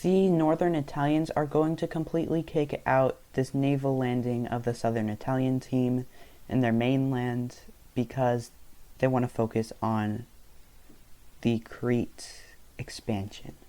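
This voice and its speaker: A man's voice: mid-range voice, fairly even intonation, measured pace, warm tone. A young man, not a native English speaker, casually narrating a map video in a rambling way, often stopping to correct himself. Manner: rambling, self-correcting, loose storytelling.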